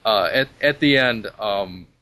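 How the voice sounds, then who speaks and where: A man co-hosting a casual podcast, pressing his opinions with strong emphasis. His voice is on the low side yet sharp and bright in tone. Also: unhurried. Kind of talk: casual chat about animated films.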